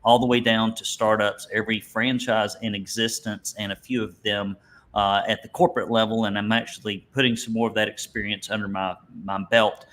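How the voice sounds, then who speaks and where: A man's voice: on the low side, little pitch movement, a little fast, fairly bright tone. A man with an Alabama Southern accent presents a webinar conversationally, in a folksy, joking way with colorful turns of phrase. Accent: Alabama Southern American. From Alabama, United States. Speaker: webinar instructor and business coach.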